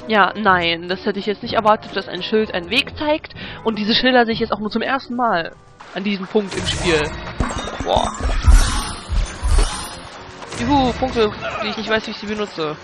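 Exasperated let's play host with a stuffy cold, loud game music under him. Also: male voice, sits high, normal speed, pauses often. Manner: grumbling, exasperated. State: has a cold. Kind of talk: casual gameplay commentary.

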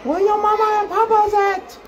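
Cheeky, silly hand puppet played by a woman, extremely high voice, unhurried and sing-song, clear background noise. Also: fairly even intonation, crowd noise. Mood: playful, teasing. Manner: playful, exclaiming, sing-song. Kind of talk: improvised playful puppet show. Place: indoor corner with bookshelves, behind puppets.

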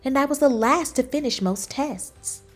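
A woman voicing an earnest, anxious cookie schoolchild in a children's storybook, with expressive, dramatic emphasis. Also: high voice, moderate pace, soft background music, pitched above the performer's own voice. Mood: frustrated, downcast. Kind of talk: children's storybook read-aloud.

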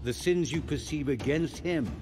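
An actor playing a wry, dignified older man in a video game, speaking in a measured, urbane, dry manner. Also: fairly high pitch, over music, natural voice. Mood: grave, measured. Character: wry, dignified older male captive hotelier. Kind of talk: dramatic video game acting.

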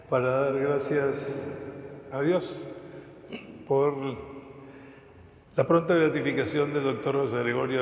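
Older male celebrant, solemn liturgical delivery, recorded in a large reverberant church. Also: medium pitch. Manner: solemn, measured intoning. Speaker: presiding priest. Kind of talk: liturgical prayer and recitation. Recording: echoey church with long reverb.

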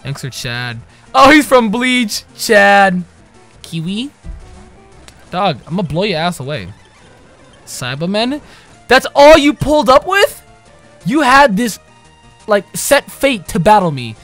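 A young man narrating a video game playthrough in a lively, swinging voice that sits a little high for a man. He jokes, swears and yells at the characters on screen, while game music plays softly underneath. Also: slow, long pauses, dry recording. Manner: loud, swearing, jokey trash talk with sudden yells. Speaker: gaming channel host. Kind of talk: casual let's play commentary.

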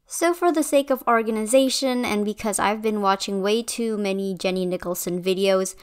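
A woman's voice: medium pitch, clear, resonant tone. A young woman from Indonesia reading a scripted commentary voice-over in a conversational, opinionated and slightly wry way. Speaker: video essayist.

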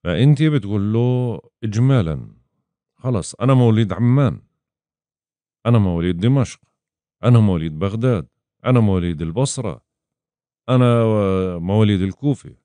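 A man's voice: lowish voice, lively intonation, brisk, pauses often, warm tone, no echo. A man gives a casual astrology lesson, acting out his clients' questions in quoted voices. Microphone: voice-over over chart screen.

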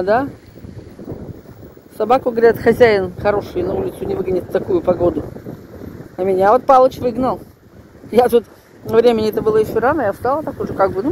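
Grumbling older woman, noisy phone recording. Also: mid-range voice. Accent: Northern Russian. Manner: colloquial, grumbling, chatty, with folksy sayings; talking while walking. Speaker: vlogger. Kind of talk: casual spoken vlog, talking to viewers. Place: outdoors on snowy residential streets, briefly stairwell and bus. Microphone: handheld phone. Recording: noisy handheld phone recording, street ambience.

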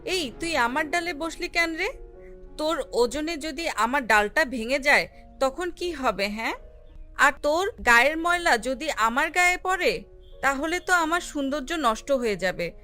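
A woman voicing a vain, haughty, quick-tempered female ripe mango in a children's cartoon, boasting and scolding. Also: sits high, lively intonation, bright, forceful tone, full character voice. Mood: angry, scolding. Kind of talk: animated children's fairy tale acting. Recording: music under the voice.

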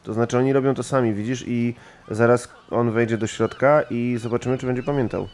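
A man's voice: medium pitch, fairly even intonation, fairly quick, darkish tone, a little hiss or hum. A man playing a tabletop role-playing game, talking casually as his character.

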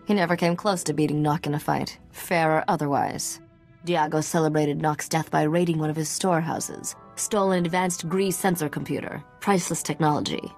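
Low-voiced, sly treasure hunter. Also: female voice, steady tone, brisk, a little mellow, soft background music. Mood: explaining, confident. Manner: cool, knowing. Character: shrewd, confident female treasure hunter, secretive and sly. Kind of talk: video game dialogue acting.

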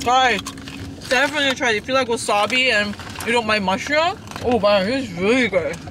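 A woman's voice: fairly high pitch, brisk, far from the mic. Giggly woman chatting in a car. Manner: chatty, giggly, tasting and reacting. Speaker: vlogger. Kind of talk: casual car mukbang chat. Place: parked car, indoors. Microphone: camera on dashboard. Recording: loud car background noise.

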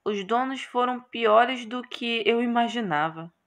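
A woman's voice: fairly high pitch, a little slow. A Brazilian woman voicing an indignant, complaining young male hero in a visual novel. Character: grumbling young male hero stuck in a tower.

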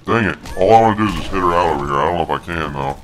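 A man's voice: deep voice, rapid-fire, a bit bright. A man voicing a snarky, crude big monster brute while doing casual, jokey video game commentary. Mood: snarky, grumbling.

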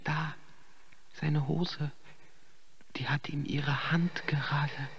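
A man's voice: fairly high pitch, steady tone, extremely slow, slightly rough, big-room sound, exaggerated voice. An actor playing a nosy young male onlooker in a radio drama, muttering conspiratorially. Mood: furtive, mocking. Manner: hushed, conspiratorial.

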